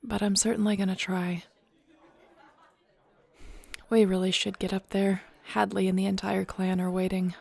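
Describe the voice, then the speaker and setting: A woman's voice: medium pitch, level tone, normal speed, gravelly, pauses often, darkish tone. A woman playing a nervous, affectionate young werewolf about to lead her clan, in an audio roleplay. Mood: nervous, tender. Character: nervous young female werewolf shifter becoming clan Alpha, affectionate, teasing. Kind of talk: intimate audio roleplay acting.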